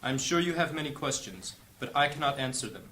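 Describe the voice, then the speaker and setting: An actor playing a solemn high-ranking church leader in a fantasy audio drama, speaking in a grave, formal, commanding way. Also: male voice, expressive, fast talker, fairly bright tone, lightly acted, poor audio. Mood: urgent, commanding. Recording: clear background ambience noise.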